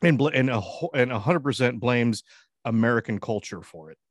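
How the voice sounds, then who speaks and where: A man's voice: medium pitch, expressive, brisk, no echo, studio quality. A man chatting casually in a profane, rambling way, often jumping in with interjections. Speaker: talk show co-host. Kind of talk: casual bantering chat.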